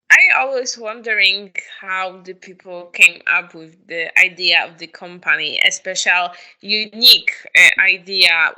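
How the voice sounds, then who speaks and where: Bright-voiced woman, halting questions. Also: medium pitch, low-quality recording. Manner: halting, non-native phrasing. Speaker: podcast host. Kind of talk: interview questions.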